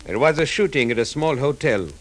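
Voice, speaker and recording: A courteous Egyptian police officer played by a man in old radio drama, with a medium-pitched voice. He speaks formally and with measured care. There is slight noise and clicking in the recording. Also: animated, lightly acted. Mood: courteous, official. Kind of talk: 1950s radio drama acting.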